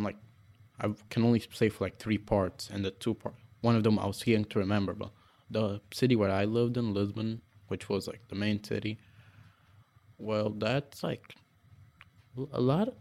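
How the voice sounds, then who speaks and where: A young man from Portugal, a podcast guest, chatting casually and stammering over his words when excited. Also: lowish voice. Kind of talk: casual chat.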